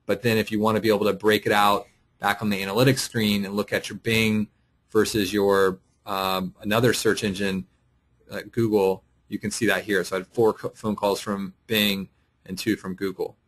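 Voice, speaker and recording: Low-voiced man giving a software walkthrough. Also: flat delivery, moderate pace. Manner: explanatory, thinking aloud while clicking through. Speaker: presenter of a software tutorial. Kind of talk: casual screen-share walkthrough, unscripted. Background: voice-over for a screen recording.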